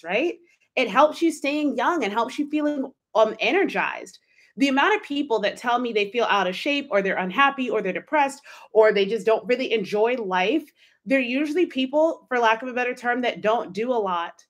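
An animated woman in her thirties hosts her own coaching podcast from a home office. She talks emphatically into a close studio mic and slips into quick put-on inner voices to make her points. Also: mid-range voice. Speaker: life coach and podcast host. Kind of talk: solo podcast monologue. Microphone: studio mic with pop filter, wearing headphones.

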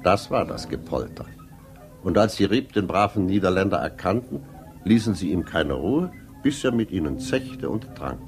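An actor playing a storyteller who tells an old fairy tale in a radio drama, measured and dramatic. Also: male voice, low-pitched, very animated, music in the background. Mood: storytelling.

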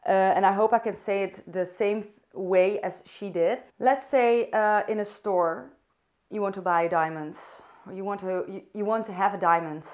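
Young dutch woman vlogging in english, soft and thoughtful, lots of ums while she finds her words. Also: mid-range voice, steady tone, moderate pace. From Netherlands. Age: young adult. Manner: soft, thoughtful, searching for words with fillers and restarts. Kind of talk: unscripted personal vlog. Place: bright room indoors by a window.

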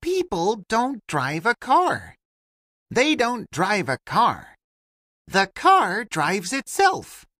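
A woman with a hugely animated intonation reads scripted lesson dialogue. She keeps each simple sentence separate and slow. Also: mid-range voice, no room sound, clean studio sound, soft background music.

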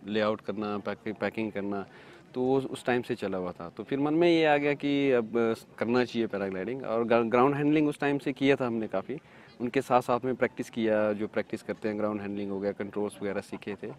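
A man from India, a paragliding pilot, answering interview questions in a relaxed, halting way with many fillers. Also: lowish voice.

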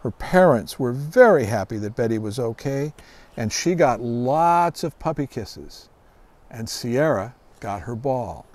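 A middle-aged man reading a children's storybook aloud outdoors in a deliberate, expressive way. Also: medium pitch, no room sound. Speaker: guest author reading own children's book. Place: outdoors on a grassy lawn by trees.

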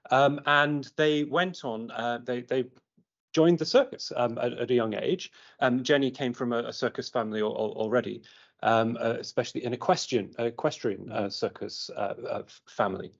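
British male academic presenter, informal and halting, mid-pitched voice, muffled lo-fi recording. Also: steady tone, vocal fry. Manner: halting, often repeating words. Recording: muffled lo-fi online meeting audio.

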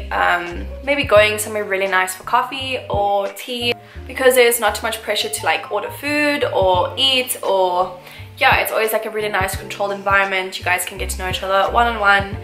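A woman's voice: medium pitch, normal speed, a bit bright. A woman, chatting casually to camera in a get-to-know-me vlog, gushing and full of fillers. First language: English. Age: 20s. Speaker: vlogger. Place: small room indoors, seated in armchair. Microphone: camera mic, medium framing. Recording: roomy echo, faint music.

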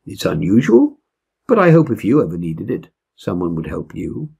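An older man voicing a caring father bear from a fairy tale in a calm, considerate manner. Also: low voice, normal speed, gravelly, slightly rough, pitched below the performer's own voice, exaggerated voice. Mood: concerned.

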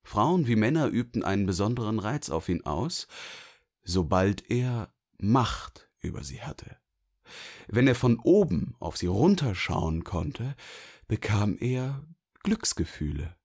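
Deeper male audiobook narrator. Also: very animated, slow, darkish tone, slightly rough.

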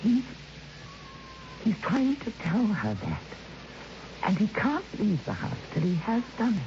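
An actress playing an earnest, kindly middle-aged woman who believes in spirits, speaking gently and deliberately in an old radio drama. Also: mid-range voice, huge pitch swings. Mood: earnest, insistent. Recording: muffled, noisy old radio recording.

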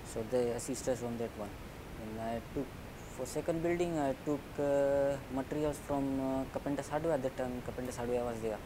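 Middle-aged Fijian man, halting and plain. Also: mid-range voice, noisy background. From Fiji. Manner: plain, halting and conversational, with tag "eh?". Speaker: poultry farmer. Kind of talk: interview answers. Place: indoors, plain room.

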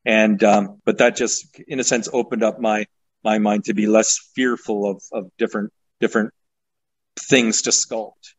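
A man, a sculptor, talking in a halting, thoughtful way as a guest on a video call, often repeating words. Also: lowish voice, little pitch movement, breathy edge, poor audio. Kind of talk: casual interview over video call.

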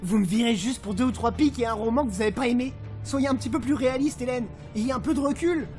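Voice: mid-range voice, fast, a little mellow, faint music behind, close to an everyday voice. An actor playing a pompous, indignant, vengeful male novelist in an audio drama. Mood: contemptuous. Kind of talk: French audio drama acting.

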